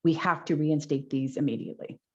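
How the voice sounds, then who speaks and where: A low-pitched woman speaks with passion at a candidates forum and keeps returning to the same rhetorical questions. The recording is dull and lo-fi. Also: fairly even intonation, a little fast. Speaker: Green Party candidate. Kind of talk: debate closing statement.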